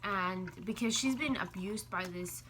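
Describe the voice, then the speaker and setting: A woman's voice: medium pitch, unhurried, a bit bright, slight background noise, poor audio. A woman talking casually in a food vlog, with a wry, teasing way of speaking. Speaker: food vlogger. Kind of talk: casual mukbang chat.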